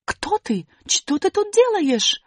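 A woman's voice: very high-pitched, very animated, slow, no room sound, clean studio sound, pitched above the performer's own voice, full character voice. A woman voicing a talking alphabet letter, a thoughtful, questioning female character with a raised voice.

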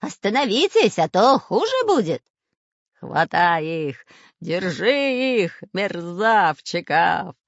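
A menacing male storybook villain performed by a woman, barking and growling threats. The delivery is blustery and swings widely in pitch, recorded dry. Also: lively intonation, very slow, vocal fry, no echo, dull audio, full character voice. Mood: snarling. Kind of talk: children's storybook character voice.

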